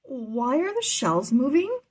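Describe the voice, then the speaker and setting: A woman plays a young boy apprentice in a children's storybook. He sounds earnest and curious, asking questions in a raised, breathy voice. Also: sits high, sing-song, slow, darkish tone, voice pushed up, exaggerated voice. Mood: alarmed.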